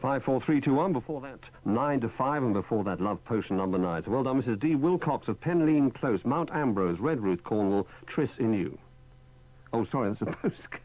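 A man's voice: medium pitch, expressive, moderate pace, a little mellow. Male radio DJ, dull recording. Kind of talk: live radio announcing.

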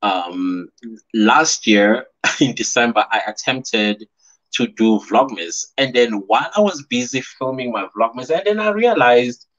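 A man's voice: medium pitch, lively intonation, a little slow, a bit bright. A South African man in his thirties, chatting casually on his first live stream from a small room at home, laughing often and reading out viewer comments. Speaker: YouTuber hosting a live stream. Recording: slightly dull lo-fi stream audio.

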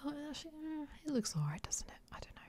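A woman whispering softly for an ASMR clothing try-on, hesitant and unsure, with many pauses and ums. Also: high-pitched, big pitch swings, slight background noise. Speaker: ASMR creator.

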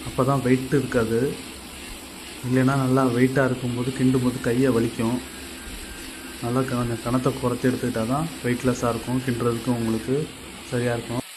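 Flat-voiced man teaching woodwork, noisy shop. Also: medium pitch, brisk, pauses often. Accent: Tamil Nadu. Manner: plain step-by-step explaining, repeats himself. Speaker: carpenter teaching on his channel. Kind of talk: casual how-to narration.

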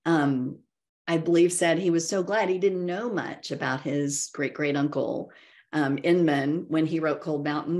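Female author guest, middle-aged, low-pitched, reflective and digressive, conversational video-call delivery. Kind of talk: conversational book discussion. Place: indoor home room, video call. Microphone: video call computer mic.

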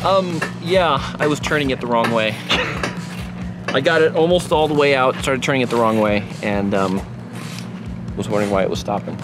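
A man's voice: mid-range voice, normal speed, long pauses. A man hosting a vlog, chatting and explaining things off the cuff in an informal, joking way. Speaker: video host, broadcast engineer. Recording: background music over the voice.

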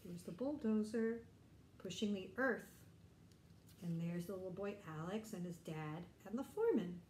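Animated woman reading aloud, roomy sound. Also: mid-range voice, normal speed, a little hiss or hum. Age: middle-aged. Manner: animated, explanatory, addressing young listeners. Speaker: teacher reading a picture book aloud to children. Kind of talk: children's read-aloud with simple questions and explanations. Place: bright indoor room.

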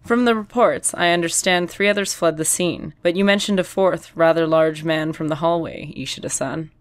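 Brief: older female detective, cool and courteous, lowish voice, read by a woman narrator, dry close sound. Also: dry recording, lightly acted. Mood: cool polite. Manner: measured, formal politeness.

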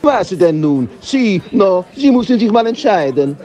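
A courteous waiter with an Italian accent in a comic audio drama. His voice is high and very animated, fussing politely and eager to serve. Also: measured pace, muffled recording. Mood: polite, puzzled.

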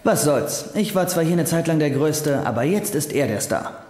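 A man's voice: on the high side, lively intonation, normal speed, background noise. An actor playing a young doctor narrating his thoughts in a dubbed comedy, wry and confessional, with a reverberant inner-voice effect. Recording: echoing reverb on voice.

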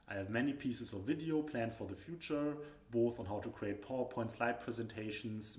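A young man with a German accent talks through slides, explaining patiently and filling pauses with little hesitations. His voice sits on the low side. The room around him rings with a noticeable echo. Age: young adult. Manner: explanatory, loosely phrased with frequent fillers. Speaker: business presentation instructor. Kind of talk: screen-recorded tutorial narration. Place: indoor room at home. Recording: echoey room.

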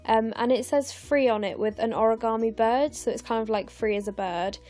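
A young English woman in her twenties, narrating her scrapbooking in a chatty, rambling way with lots of fillers, her voice croaky and tired late at night. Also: a little high, a little fast, darkish tone. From England. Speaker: scrapbooking vlogger. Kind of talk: casual voice-over narration of a craft process. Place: home, recorded late at night.

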